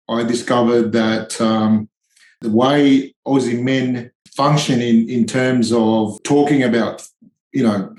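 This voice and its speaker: A man's voice: medium pitch, a little mellow. Hesitant Tonga-raised man, echoey room. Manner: hesitant, many ums and restarts. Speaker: charity founder, tradie guest. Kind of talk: podcast interview, reflective.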